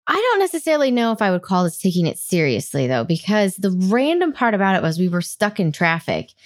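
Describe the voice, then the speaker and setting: Woman host telling a story, laughs a lot, acts out what people said, middle pitch. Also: big pitch swings, fast. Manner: laughs often, acts out quotes. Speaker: podcast host. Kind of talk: casual chat, animated storytelling.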